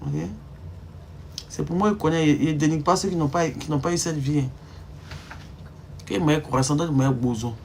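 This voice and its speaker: A woman's voice: low voice, normal speed, pauses often, a little mellow, a little hiss or hum. A woman in her thirties from Ivory Coast with an Ivorian French accent, chatting casually on a live stream in an emphatic, teasing way and firing rhetorical questions at her viewers. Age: 30s.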